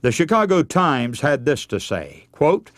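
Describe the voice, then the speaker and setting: A man's voice: fairly high pitch, big pitch swings, unhurried, no echo. An older man preaching a radio sermon, telling stories in an earnest way and calling his listeners friends.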